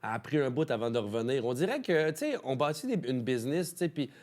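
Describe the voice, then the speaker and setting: A man's voice: medium pitch, animated, fast, a little mellow. A man with a Québécois French accent, a guest in a casual studio chat, talking in an easygoing, drawling way.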